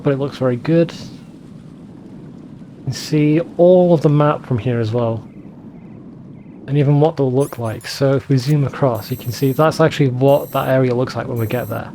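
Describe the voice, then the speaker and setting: Mellow man giving casual game commentary. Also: mid-range voice, slight background noise. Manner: rambling, conversational, swears casually. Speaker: let's play host.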